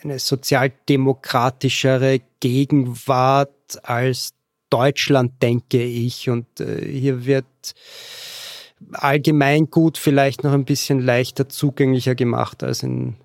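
Austrian man speaking careful, deliberate German, dry sound. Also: medium pitch, little pitch movement, no echo. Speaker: podcast guest. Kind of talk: casual explanatory conversation.

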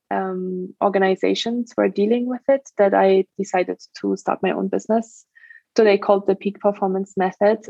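Flat-toned German-accented woman. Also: mid-range voice, no room sound, highs cut off. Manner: earnest, many fillers, occasional laugh. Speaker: guest, stress management trainer. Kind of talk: podcast interview.

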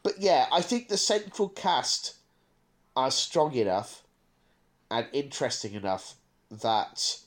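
Male podcast co-host, mid-pitched, conversational and discursive, thinks out loud. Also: big pitch swings, slow, long pauses. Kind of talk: casual podcast chat.

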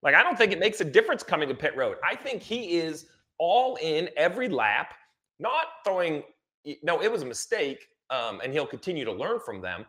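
A man's voice: a little high, sing-song, moderate pace, sharp tone. A man giving conversational motorsport analysis, emphatic and repeating phrases to drive points home.

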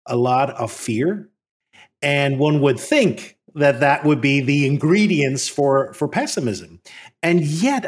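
A man's voice: medium pitch, breathy edge. A man from Northern Ireland with a Northern Irish accent, co-hosting a podcast and speaking in an earnest, reflective way, often repeating words as he gathers his thoughts. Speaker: podcast co-host. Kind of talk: reflective conversational podcast talk.

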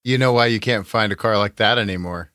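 A man's voice: fairly low pitch, a little fast, pushed and bright, no echo, studio quality. A man co-hosting a podcast with playful, wisecracking banter. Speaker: podcast co-host. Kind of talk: casual comic podcast banter.

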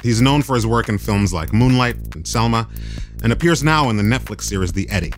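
A man's voice: mid-range voice, expressive, brisk, soft background music. A man hosting an interview in a conversational style. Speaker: interviewer and host.

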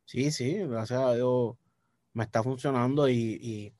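A young man with a Puerto Rican Spanish accent, talking casually as a guest musician, relaxed and repeating words as he thinks. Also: medium pitch, measured pace, dry recording. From Puerto Rico. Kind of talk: casual interview chat.

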